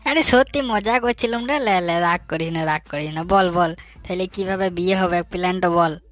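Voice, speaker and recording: A man playing a hapless, cheeky young bachelor in a comic cartoon, in colloquial rural western West Bengal dialect with a pitched-up voice. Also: moderate pace, a bit bright, dry recording, faint music behind. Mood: pleading. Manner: colloquial, pleading, bantering.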